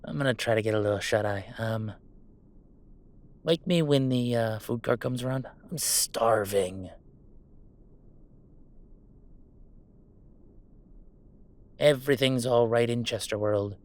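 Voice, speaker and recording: A man's voice: medium pitch, normal speed, lightly acted. A man playing a goofy young werewolf streamer in an intimate roleplay audio drama, talking in casual, mock-grandiose banter with small hesitations. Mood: sleepy, relaxed. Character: goofy young male werewolf streamer, playful, defiant about family. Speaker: game streamer.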